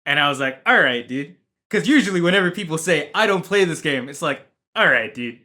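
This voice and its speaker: Young man, laid-back, crisp bright tone, relaxed interview storyteller. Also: a little high, brisk. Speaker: tournament organizer. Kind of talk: documentary interview.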